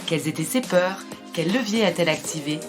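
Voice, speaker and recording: A woman's voice: fairly low pitch, fairly quick, over music. A French-speaking woman hosting a podcast, reading a scripted intro and then chatting eagerly with her guest. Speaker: podcast host.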